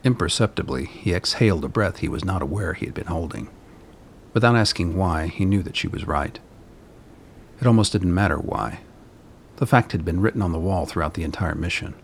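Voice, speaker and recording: A man narrating a dystopian radio play as a sombre, deliberate storyteller. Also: low voice, lively intonation, a little hiss or hum.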